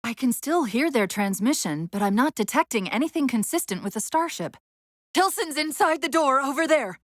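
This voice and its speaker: A woman voicing a video game's female AI companion, an urgent, wry military guide with crisp, technical, commanding delivery. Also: high voice, animated, moderate pace, no room sound, clean studio sound. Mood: brisk, commanding. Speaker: video game voice actor. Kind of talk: video game dialogue, tactical callouts.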